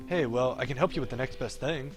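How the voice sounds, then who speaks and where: A man's voice: medium pitch, fast talker, lightly acted. A man voicing a wry, cocky young pro gamer from a visual novel, teasing and breezy. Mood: friendly, wry. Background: game music.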